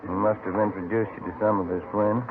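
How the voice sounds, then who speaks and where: A man's voice: lowish voice, level tone, a little fast, natural voice. A man playing a plainspoken, insistent police detective partner in a 1950s radio drama, folksy but firm. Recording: noisy, narrow old radio recording.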